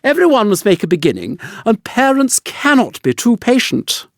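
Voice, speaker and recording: A man's voice: extremely high pitch, wildly swooping pitch, moderate pace, no room sound, studio quality, pitched above the performer's own voice, full character voice. A man voicing a patient, proper mother duck in a storybook, speaking in a prim, instructive way. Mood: gently reproving. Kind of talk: storybook character voice.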